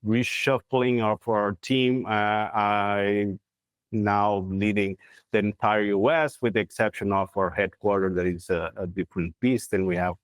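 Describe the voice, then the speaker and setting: Interview guest: man in his forties with a Brazilian accent, smooth voice, halting English, dry room. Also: fairly low pitch, steady tone, no echo. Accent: Brazilian Portuguese. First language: Portuguese. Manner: halting, searching for words with many fillers. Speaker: guest, corporate real estate head. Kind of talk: video call interview. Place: plain indoor room. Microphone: lapel mic on video call.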